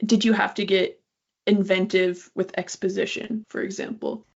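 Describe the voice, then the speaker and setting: Husky, creaky-voiced woman interviewer. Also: mid-range voice, flat delivery, moderate pace, lo-fi sound. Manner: informal, chatty, hedging with "like". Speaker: interviewer, co-host. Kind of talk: casual interview chat.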